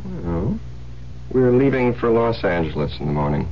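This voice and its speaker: An actor playing a probing, needling husband in an old-time radio drama, talking in a conversational way. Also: male voice, on the low side, big pitch swings, moderate pace. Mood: casual, suspicious. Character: smooth-talking, probing psychiatrist husband Tom, quietly menacing. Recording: muffled vintage radio recording, humming hiss.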